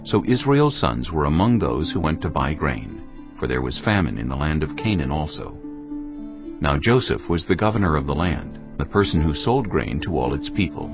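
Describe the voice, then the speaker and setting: A man's voice: rumbling low, big pitch swings, moderate pace. A man giving scripted narration for a dramatized scripture recording. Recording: dull audio with clear music.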